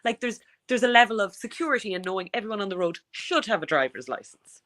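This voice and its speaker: A woman's voice: medium pitch, animated, fast talker, fairly bright tone. A woman on a sports panel giving her opinions emphatically, arguing at length in long run-on sentences.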